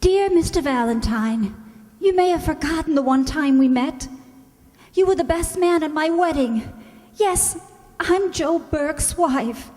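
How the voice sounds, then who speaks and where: A woman's voice: high-pitched, expressive, a little slow, darkish tone. A woman playing a worried wife reading her pleading letter in a 1940s-style radio detective drama. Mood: distressed. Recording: echoey hall stage performance.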